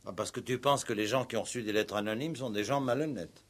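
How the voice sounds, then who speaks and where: An actor playing a calm, probing police commissioner in a radio play, measured and drily ironic. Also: male voice, medium pitch, natural voice. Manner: measured, interrogating, gently ironic. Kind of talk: 1960s French radio play acting.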